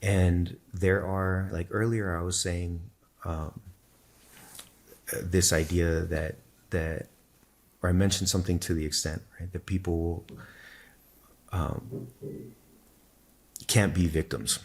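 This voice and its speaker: A man whose first language is Spanish, a podcast guest thoughtfully telling his own story in a measured, explanatory way. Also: sits low, level tone. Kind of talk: reflective personal storytelling in conversation.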